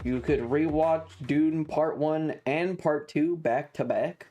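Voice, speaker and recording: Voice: medium pitch, takes it slowly, mellow. A streamer chatting casually while playing a game, with a sarcastic, profane manner. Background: music.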